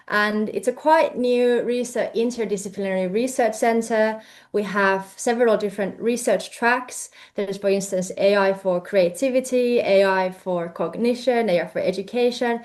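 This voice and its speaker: Young Finnish-accented woman, researcher presenting, halting delivery with many fillers, average pitch. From Finland. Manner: hesitant, many fillers and restarts. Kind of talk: presentation talk.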